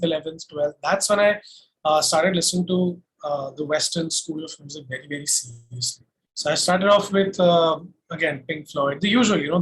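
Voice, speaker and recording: A man from Delhi with an Indian accent, casually looking back as an interviewed singer, reflective and pausing often. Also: a little high, fairly bright tone, breathy edge, rough recording. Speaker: singer-songwriter being interviewed.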